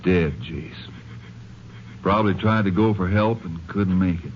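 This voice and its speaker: An actor playing an easygoing ranger sidekick in a 1950s radio drama, in a dull, noisy old recording. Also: male voice, sits low, unhurried. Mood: casual.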